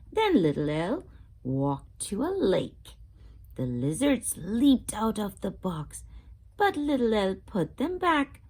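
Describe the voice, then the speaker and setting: Brief: female children's read-aloud host, very slow delivery with lively, expressive intonation. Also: medium pitch, husky. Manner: expressive, asks listeners questions.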